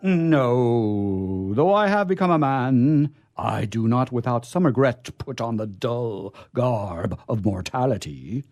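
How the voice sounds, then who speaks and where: A man's voice: mid-range voice, expressive, slow, hardly any pauses, a little mellow, dry recording, clean studio sound. An actor playing a lofty, ardent male angel turned mortal, speaking in a stately, florid way for a stage comedy. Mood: grand, wistful. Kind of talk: theatrical stage comedy acting.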